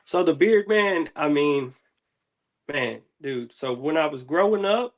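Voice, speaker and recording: A man's voice: a little high, lively intonation, a little slow. A man chatting casually as an interview guest, laid-back, slangy and rambling. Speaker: interview guest, music artist. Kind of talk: casual chat.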